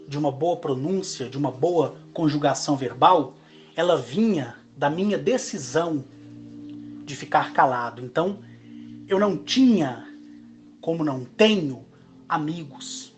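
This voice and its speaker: A Brazilian man in his forties, speaking in a slow, emphatic monologue broken into short phrases. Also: on the high side, animated, soft background music. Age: 40s. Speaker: channel host. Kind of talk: monologue to camera.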